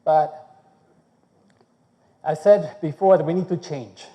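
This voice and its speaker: A man from Chile, speaking English, gives a conference talk on food research. His voice is very dark and mellow, and he keeps checking in with the audience by asking "okay?". The sound carries a long reverb from the hall. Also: a little high, slow pace. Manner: conversational, checks in with frequent "okay?", restarts.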